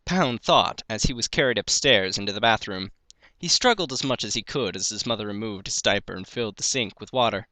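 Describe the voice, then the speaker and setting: A man's voice: fairly low pitch, lively intonation, fairly quick, bright, forceful tone, no room sound. A man narrating a piece of fan fiction, reading it aloud as a story.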